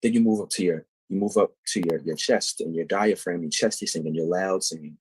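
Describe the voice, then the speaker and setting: A man's voice: deep voice, brisk, darkish tone, slightly rough, rough recording. A man, a singer answering interview questions and explaining vocal technique thoughtfully and at length. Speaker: guest singer.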